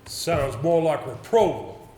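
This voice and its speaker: A man plays a troubled, earnest gentleman unused to performing, in a stage play. His fairly high voice is formal and hesitant, with lively swings in pitch. The sound is roomy, with faint noise. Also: takes it slowly. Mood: earnest, defensive. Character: earnest, troubled gentleman, an untrained nervous reader. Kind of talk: amateur stage play acting. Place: indoor theatre stage.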